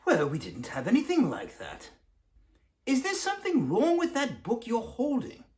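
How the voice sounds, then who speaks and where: A woman's voice: on the high side, wildly swooping pitch, normal speed, warm tone. A woman playing a nosy, indignant library visitor in a dramatized novel reading, pointed and querulous.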